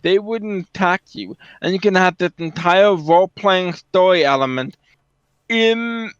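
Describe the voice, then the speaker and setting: A man's voice: high-pitched, measured pace, smooth, no room sound. A man chatting casually about video games in a matter-of-fact way, on a dull, lo-fi voice track.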